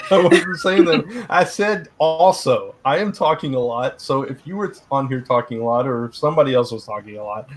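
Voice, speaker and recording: A middle-aged man co-hosting a casual live stream, rambling with lots of fillers and restarts, his voice turning hoarse. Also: medium pitch, expressive, moderate pace. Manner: rambling, many fillers and restarts, laughs often. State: getting hoarse. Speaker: live stream co-host, publisher.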